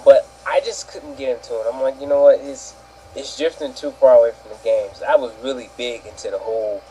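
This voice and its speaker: A man of medium pitch speaks as a panelist in a casual discussion. He is colloquial and often acts out his own reactions, with faint ambient noise behind him. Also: brisk, warm tone, poor audio. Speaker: panelist on an opinion show. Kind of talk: casual opinion chat.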